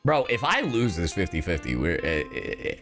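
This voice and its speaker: A man live streaming a game, chatting casually in a slangy, sweary, expressive and excitable way. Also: deep voice, moderate pace, faint music behind. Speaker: livestreamer.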